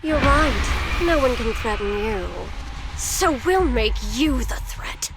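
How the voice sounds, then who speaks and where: A woman's voice: high-pitched, lively intonation, takes it slowly, loud music behind the voice. A woman playing a smug, scheming villainess in a video game, theatrical and taunting, with cool menace. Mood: gloating. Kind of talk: theatrical scripted game dialogue.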